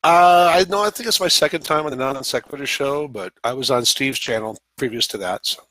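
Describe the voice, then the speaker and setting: Male guest, bright forceful tone, dry close mic, short friendly answers. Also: medium pitch, fairly quick, dry recording, rough recording. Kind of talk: casual talk show chat.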